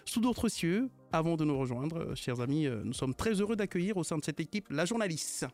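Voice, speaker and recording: Man, radio studio host, jovial, teasing, fairly high voice. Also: sing-song, a little fast, a little mellow. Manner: jovial, teasing, laughs often. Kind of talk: hosting a live radio culture show.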